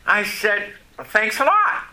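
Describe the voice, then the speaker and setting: A man preaching to his congregation in a high, lively voice that climbs and falls with each point. He speaks slowly and with a bright, forceful edge, and keeps stopping to ask the listeners questions. He grew up in Vermont, and his first language is French. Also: low-quality recording. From Vermont, USA. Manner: folksy, questions the congregation, pauses between phrases. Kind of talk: sermon.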